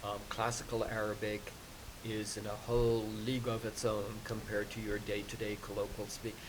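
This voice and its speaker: A man whose first language is Persian, answering questions as a podcast guest in a measured, explaining way with frequent ums. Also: lowish voice, monotone. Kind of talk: conversational interview. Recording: hissy podcast recording.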